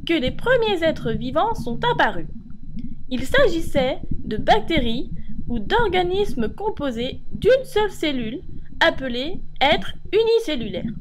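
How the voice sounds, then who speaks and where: A woman's voice: high-pitched, big pitch swings, unhurried, noisy background. A woman reading scripted educational narration for children, explaining things and addressing the viewers directly.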